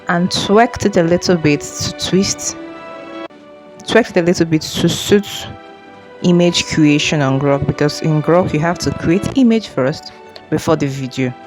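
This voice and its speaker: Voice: mid-range voice, a little mellow. A tutorial host explaining things informally, often repeating and rephrasing along the way. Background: music.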